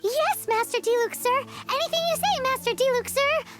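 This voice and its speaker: Very high-pitched young game girl. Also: moderate pace, loud music behind the voice. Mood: meek, deferential. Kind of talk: video game voice acting.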